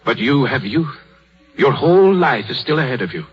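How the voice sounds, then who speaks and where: An actor playing an aging, anxious surgeon full of regret and self-pity, husky, in a 1930s radio drama. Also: male voice, mid-range voice, very animated, moderate pace. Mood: despairing. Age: older. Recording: dull 1930s radio broadcast with music.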